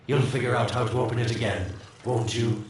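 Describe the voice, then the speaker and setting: An actor playing a sly, smug merchant villain in a video game, with a teasing, theatrical drawl. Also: male voice, medium pitch, fairly even intonation, fairly quick, heavy background noise, natural voice. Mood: slyly persuasive. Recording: very echoey, distant, music behind.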